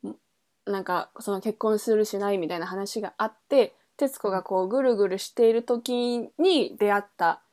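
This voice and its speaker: A woman's voice: medium pitch, normal speed, darkish tone. A woman podcast host in a casual book chat, thinking aloud in trailing, loosely linked phrases. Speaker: podcast host reviewing a novel.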